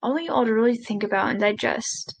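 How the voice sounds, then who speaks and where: A woman voicing a live sign language interpretation of a speech, halting, with pauses and self-corrections. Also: fairly high pitch, gravelly.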